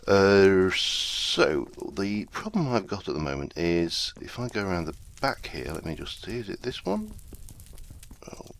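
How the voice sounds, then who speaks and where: A man's voice: low-pitched, wildly swooping pitch, measured pace, vocal fry. A man hosting a casual let's play, talking through his gameplay in a rambling, self-deprecating way while chesty with a summer cold.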